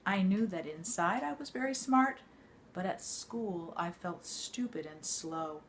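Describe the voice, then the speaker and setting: A woman reading an autobiography aloud like a teacher to students, in a measured, expressive storytelling way. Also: lowish voice, slight background noise.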